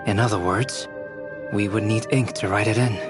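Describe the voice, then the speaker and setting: A man voicing a calm, scholarly companion in a game cutscene, explaining things in a measured, analytical way. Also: lowish voice, natural voice. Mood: thoughtful. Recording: game audio with faint music.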